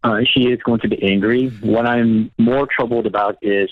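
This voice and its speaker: A man in his thirties with a low voice calls in over a thin, phone-like line. He speaks in a measured, hesitant way and keeps explaining himself. The sound is dry and close. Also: steady tone, no room sound. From United States. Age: 30s. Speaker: radio show caller.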